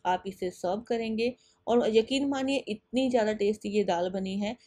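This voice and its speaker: A woman's voice: mid-range voice, normal speed, warm tone, no room sound, clean studio sound. A woman giving a patient step-by-step voice-over for a home cooking video, speaking directly to her viewers. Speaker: cooking channel host.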